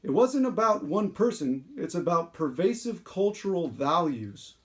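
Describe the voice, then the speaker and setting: Man putting on a high-pitched, halting story-time host. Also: big pitch swings. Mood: earnest, measured. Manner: halting, filler-heavy asides, earnest reading. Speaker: show host. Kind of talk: story-time presenting, reading aloud.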